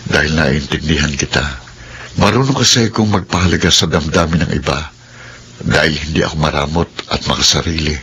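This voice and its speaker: An actor playing a calm, principled, quietly reproachful man in a Filipino radio drama, speaking in a measured, pointed way. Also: a bass voice, a little hiss or hum, exaggerated voice.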